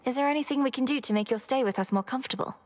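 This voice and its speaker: A determined young princess witch of the underworld for a game, formal and measured. The voice is slightly husky and carries a long reverb tail. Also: high-pitched. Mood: courteous. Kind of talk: video game voice acting, formal diction. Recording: long reverberant hall effect.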